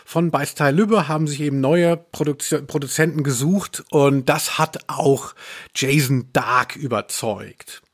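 A man with a somewhat high-pitched voice talks casually as a podcast co-host. He speaks conversationally, going into nerdy detail as he discusses his subject. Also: unhurried. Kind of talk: casual podcast discussion about radio plays.

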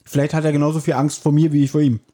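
A man with a mellow, husky voice hosting a casual podcast with playful, teasing banter. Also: a little high, flat delivery, rapid-fire. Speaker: podcast host. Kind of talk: casual, joking podcast chat.

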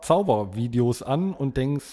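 Mid-pitched man in casual podcast chat. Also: lively intonation, measured pace, warm tone. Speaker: podcast co-host. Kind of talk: casual chat, telling everyday anecdotes.

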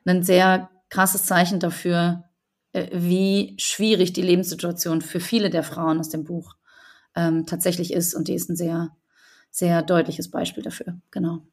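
A woman's voice: on the low side, flat delivery, a little slow, vocal fry. A woman author being interviewed, speaking earnestly and thoughtfully with frequent fillers and restarts. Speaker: correspondent and book author, guest. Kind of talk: conversational podcast interview.

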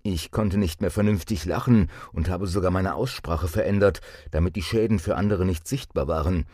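Deep-voiced man reading a testimonial. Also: moderate pace, dark tone, no echo, clean studio sound. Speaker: narrator. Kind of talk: scripted narration of a testimonial.

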